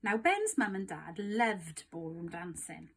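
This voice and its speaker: Husky-voiced woman reading a children's story. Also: fairly low pitch, sing-song, a little slow. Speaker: storybook narrator. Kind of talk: expressive scripted read-aloud of a children's story.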